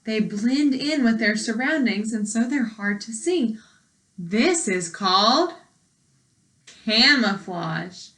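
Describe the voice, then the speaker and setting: A woman teacher with a bright, fairly high voice reads aloud for young children. She takes her time over the words and speaks in an instructive way, putting questions to her listeners. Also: expressive, slow. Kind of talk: read-aloud lesson with commentary and questions.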